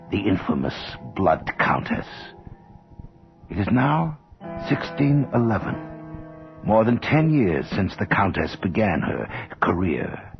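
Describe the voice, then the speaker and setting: An actor playing a sinister, theatrical horror host, narrating a scripted radio drama in a gravelly voice. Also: male voice, heavily acted. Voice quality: deep, gravelly. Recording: dull old radio broadcast recording.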